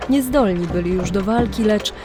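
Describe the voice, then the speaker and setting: A woman narrating a scripted audio drama legend in a measured, literary storytelling manner, with deliberate pauses. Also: mid-range voice. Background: music.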